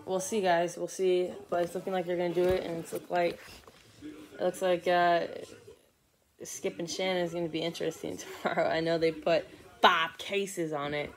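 A man's voice: sits high, level tone, moderate pace. Teenage boy rambling over a live game. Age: teens. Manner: rambling, many fillers and restarts. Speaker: sports vlogger. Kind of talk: casual live game commentary. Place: living room couch, indoors. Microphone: phone or laptop camera from below.

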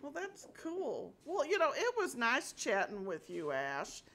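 An older woman talks on a headset in a small home office. Her fairly high voice swings lively up and down as she chats in a friendly way. She laughs easily between sentences. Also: a little slow. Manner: chatty, friendly, laughs often. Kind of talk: casual phone chat.

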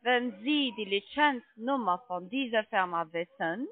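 A woman's voice: fairly high pitch, lively intonation, a little slow, dry recording. A woman with a foreign accent, a non-native speaker, working as a call center agent and arguing insistently, repeating herself over a phone line. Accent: foreign accent in German. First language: not German. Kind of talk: unscripted phone argument. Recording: tinny, narrow phone line.

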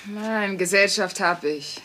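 A woman's voice: medium pitch, slow, background noise, lightly acted. A woman playing a sarcastic but caring working-class mother in a dubbed sitcom, speaking dryly. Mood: subdued. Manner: dry, sardonic. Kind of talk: German-dubbed sitcom dialogue.

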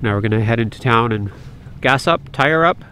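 A middle-aged man from Canada chats casually to a camera held at arm's length. He sounds easygoing and talkative, and laughs easily. Clear outdoor noise from wind and water sits under his voice. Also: medium pitch, lively intonation, moderate pace, bright, forceful tone. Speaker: vlogger. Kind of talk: casual travel vlog narration. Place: outdoors, on a lake and by a roadside. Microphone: handheld camera at arm's length.

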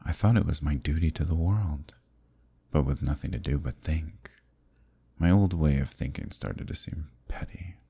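A man acts out an ancient eldritch evil for an ASMR roleplay, with an extremely low voice full of gravelly creak and a dark, mellow tone. He is weary and wry, musing slowly in formal words as if long alone. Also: exaggerated voice. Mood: regretful, reflective. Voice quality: very deep, husky, gravelly, breathy. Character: ancient eldritch villain, male, weary, wryly teasing. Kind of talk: ASMR roleplay, intimate monologue.

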